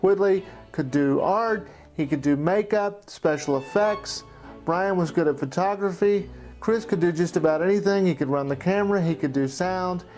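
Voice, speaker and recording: A man with a fairly high voice narrates a personal documentary looking back on his amateur filmmaking. His delivery is scripted storytelling, with music clearly playing behind him. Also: normal speed, a little mellow.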